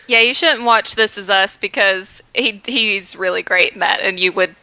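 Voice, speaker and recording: A woman's voice: mid-range voice, moderate pace, bright, forceful tone, no echo. A woman co-hosting a podcast in casual chat, giggly and enthusiastic as a fan. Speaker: podcast co-host.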